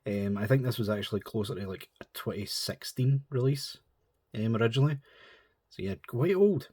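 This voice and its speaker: A man's voice: medium pitch, normal speed, dark tone, husky, dry recording, clean studio sound. A man with a stuffy nose from a cold, chatting in a rambling, informal way as he reviews a model kit. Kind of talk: casual chat.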